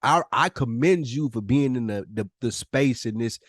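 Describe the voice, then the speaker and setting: A man's voice: medium pitch, normal speed, dry recording, studio quality. A man hosting a podcast, speaking candidly and informally, with slang and swearing, in casual conversation. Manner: informal slangy talk with profanity. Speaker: podcast host.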